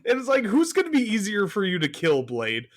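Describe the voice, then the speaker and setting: A man's voice: high-pitched, huge pitch swings, a little fast. A man chatting casually about movies in a wry, nitpicking way. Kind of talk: casual movie discussion podcast chat.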